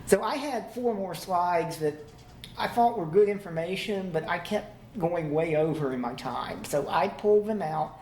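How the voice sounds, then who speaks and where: Relaxed man presenting in an echoey room. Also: on the high side, creaky. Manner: relaxed, conversational, laughs easily. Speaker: conference presenter. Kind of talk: conference talk to a live audience. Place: conference room. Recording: echoey room, faint background hum.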